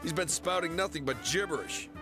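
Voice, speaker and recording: An actor playing a brusque, by-the-book police guard in a video game, with a curt, official manner. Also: male voice, fairly high pitch, brisk, natural voice. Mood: skeptical. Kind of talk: English video game dub acting. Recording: game music behind the voice.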